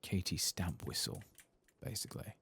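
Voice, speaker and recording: A man giving soft-spoken, murmured ASMR commentary while playing a video game, with a husky, breathy voice. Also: deep voice, sing-song, a little hiss or hum. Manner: quiet, murmured, unhurried.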